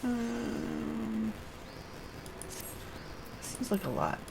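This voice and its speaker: A woman streams a game in an unhurried voice with noticeable vocal fry. She talks as a solo player does, to herself and to the stream. There is clear background noise behind her. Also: on the high side, very animated. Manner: thinks aloud in short fragments. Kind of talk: live game stream, reading quest dialogue aloud and muttering casually.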